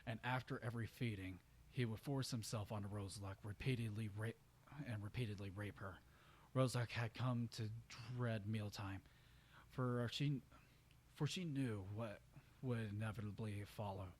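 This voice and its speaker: A man's voice: on the low side, normal speed, darkish tone. A man giving an amateur dramatic reading of fan fiction, stumbling over words and correcting himself. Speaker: story reader.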